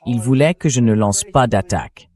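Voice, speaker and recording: A man's voice: mid-range voice, moderate pace, a little mellow. A man hosting a political interview, speaking in a dubbed news commentary style. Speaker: interview host.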